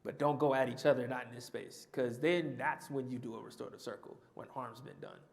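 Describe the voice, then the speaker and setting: A man's voice: medium pitch, big pitch swings, brisk, gravelly. Man answering a panel question. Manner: conversational, restarts and repeats himself.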